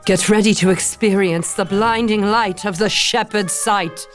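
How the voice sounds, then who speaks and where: A woman playing a serene, wise blind shepherdess in a fantasy table read, measured, solemn and cryptic. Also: mid-range voice, steady tone, soft background music, natural voice. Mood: solemn, commanding.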